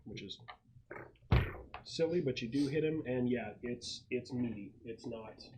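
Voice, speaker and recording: A man's voice: medium pitch, measured pace, darkish tone, poor audio. Man game master, noticeable background noise. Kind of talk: narrating and refereeing a tabletop roleplaying game.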